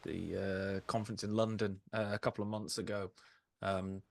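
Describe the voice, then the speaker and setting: A man's voice: lowish voice, level tone, moderate pace, vocal fry. A man chatting casually as a panelist in a film discussion, with an enthusiastic, conversational manner. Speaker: podcast panelist.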